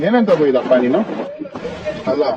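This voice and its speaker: A man's voice: fairly high pitch, very animated, moderate pace. A man with a Karnataka accent playing a stern middle-aged police inspector in a prank call, coaxing and threatening as he interrogates. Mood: pressing. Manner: interrogating, repeats questions, switches between coaxing and threats. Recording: muffled, distant phone call with loud noise.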